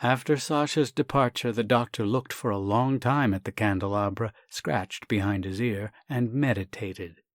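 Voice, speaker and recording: A man reading a classic comic short story as scripted narration, in a measured, wry, theatrical way. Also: on the low side, no echo, studio quality. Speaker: story reader, actor.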